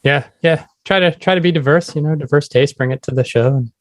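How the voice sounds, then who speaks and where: A man hosting a podcast, asking questions in an informal, agreeable, slightly halting way. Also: a little high. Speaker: podcast host. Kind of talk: casual interview chat.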